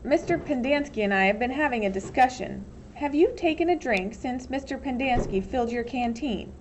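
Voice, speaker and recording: A woman's voice: high voice, expressive, noisy background. Woman acting a cold, commanding female warden, drawn out. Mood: coolly polite. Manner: soft-spoken, slow, menacingly polite.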